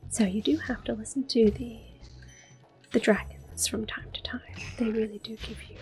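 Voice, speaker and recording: A woman whispering gently and unhurriedly in a soft, breathy voice, commentating a game in ASMR style up close to the microphone. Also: fairly high pitch, steady tone, faint music behind. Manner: gentle, unhurried, softly spoken. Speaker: ASMR gaming host.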